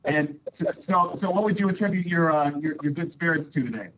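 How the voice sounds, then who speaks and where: A man's voice: a little high, a little fast. A man working as a sports reporter, asking casual, hesitant and rambling questions over a conference call. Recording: dull lo-fi conference call line.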